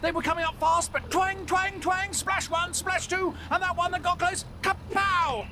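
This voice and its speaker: An actor playing a posh, excitable young pet mouse with a British accent, prim, polite and easily flustered, in a video game. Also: male voice, very high-pitched, moderate pace. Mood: excited.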